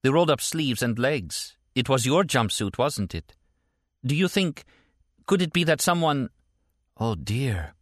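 Man as woman character, higher pitch, tender, worried, teasing, audiobook dialogue, animated intonation. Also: a little slow, no room sound, studio quality. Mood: anxious. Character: frightened, teasing, tender American woman on a phone call.

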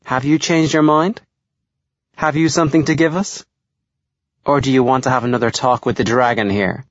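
A narrator doing a sneering teen bully. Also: male voice, mid-range voice, fairly even intonation, moderate pace, dry recording, lo-fi sound, pitched below the performer's own voice, lightly acted. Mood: menacing. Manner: sneering, threatening. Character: menacing teenage boy bully, cruel.

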